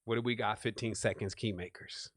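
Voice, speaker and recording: A man's voice: lowish voice, steady tone, brisk, no echo, clean studio sound. A man hosting a spiritual panel, fielding questions in an informal, explanatory way. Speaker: panel host.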